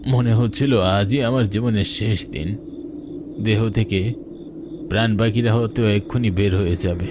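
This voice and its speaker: A man's voice: on the low side, unhurried, noisy background. A man narrating a ghost story in the first person, in a dramatic storytelling style. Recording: night crickets and insects behind.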